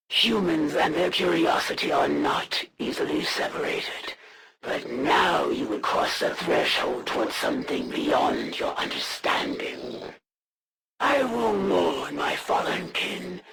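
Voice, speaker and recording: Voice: mid-range voice, gravelly, background noise, heavily acted. An actor playing a proud, honor-bound alien assassin in a video game, speaking in a formal, theatrical and menacing way. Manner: formal, haughty, deliberate, theatrical. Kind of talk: scripted video game villain monologue.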